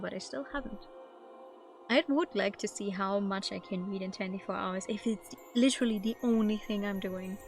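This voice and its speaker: A woman is live streaming a game and talking with her audience. Her delivery is informal and conversational, like casual chat. Music plays in the background behind her voice. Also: mid-range voice.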